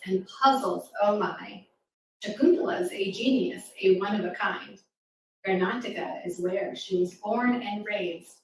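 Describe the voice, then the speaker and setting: A woman reading rhyming verse aloud to young children at a live storytime, with an encouraging, interactive manner. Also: medium pitch. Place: live reading event in a roomy space. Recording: echoey room, distant mic, faint noise.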